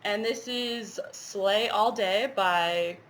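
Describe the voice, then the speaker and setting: A woman's voice: medium pitch, drawn-out words, fairly bright tone. A woman with a stuffy head cold, chatting casually and rambling with lots of fillers as she shows her stitching projects. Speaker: cross-stitch vlogger. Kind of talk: casual show-and-tell chat.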